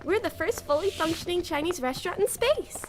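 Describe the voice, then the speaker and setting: A woman's voice: very high-pitched, lively intonation, fairly quick, faint music behind. A woman voicing a chirpy, self-absorbed young waitress and would-be singer in a parody anime dub. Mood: perky. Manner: perky, gushing.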